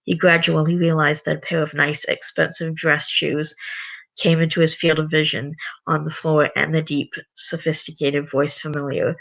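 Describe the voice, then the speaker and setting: A livestreamer reading a story aloud and chatting to viewers, voice going raspy. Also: medium pitch, level tone, moderate pace, fairly bright tone, muffled recording. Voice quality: voice getting raspy. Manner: rambling, self-correcting. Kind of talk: reading a story aloud, then casual chat.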